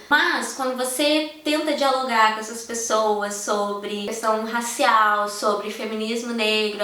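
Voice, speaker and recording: A young woman with a Brazilian Portuguese accent speaks frankly and with passion, her voice set a little high. She sits a bit back from the mic in a strongly echoing room. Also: fairly bright tone, distant mic. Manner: frank, impassioned, speaks straight to viewers. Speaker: vlogger. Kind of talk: candid personal vlog.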